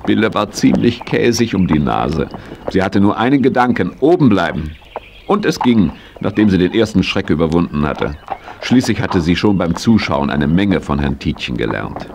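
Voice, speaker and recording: An actor narrating a children's horse story in a 1980s audio drama, telling it expressively. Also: male voice, low-pitched, very animated, moderate pace, gravelly, noisy background, heavily acted. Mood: storytelling.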